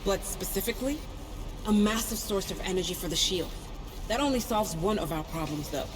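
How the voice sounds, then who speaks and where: A woman's voice: mid-range voice, animated, fairly quick, close to an everyday voice. A woman playing a practical, dry-witted starship chief engineer in a video game, frank and matter-of-fact. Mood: grim, frank. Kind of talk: video game cutscene acting. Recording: reverberant hall, music underneath.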